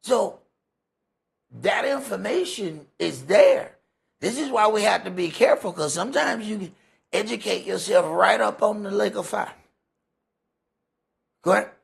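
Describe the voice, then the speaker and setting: Gravelly, husky preacher. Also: male voice, high-pitched, lively intonation, unhurried, long pauses. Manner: emphatic, rhetorical questions, folksy phrasing. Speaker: preacher leading a Bible study. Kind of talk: conversational sermon with scripture commentary.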